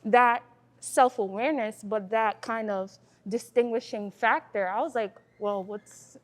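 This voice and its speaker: A woman student speaking in a casual panel discussion, reflective and a little halting. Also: fairly high pitch, fairly even intonation.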